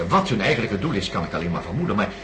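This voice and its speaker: Earnest doctor explaining his theory, very lively pitch, noisy recording. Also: very fast. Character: patient, reasoning male doctor explaining a theory. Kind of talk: radio drama acting. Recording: noisy radio play recording.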